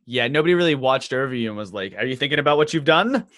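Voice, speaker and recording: A man's voice: mid-range voice, lively intonation, very fast, a bit bright, dry recording. Male podcast host, late 20s, chatty and jokey, dry close sound. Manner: chatty, jokey storytelling with lots of "like". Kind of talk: casual podcast chat.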